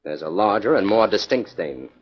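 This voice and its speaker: A low-voiced 1940s radio detective. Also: male voice, lively intonation, normal speed, husky. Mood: confident, insistent. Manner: assured, explanatory, dryly ironic. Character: confident, methodical amateur detective man, dryly sardonic. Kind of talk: 1940s radio drama acting. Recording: dull, lo-fi 1940s radio recording.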